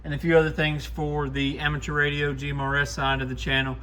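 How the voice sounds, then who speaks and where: A man's voice: a little high, completely flat delivery, fast, pushed and bright. A man giving an informal, plain-spoken, hands-on demo as the host of a repair shop channel. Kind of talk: casual hands-on demo narration. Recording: clearly noisy shop recording.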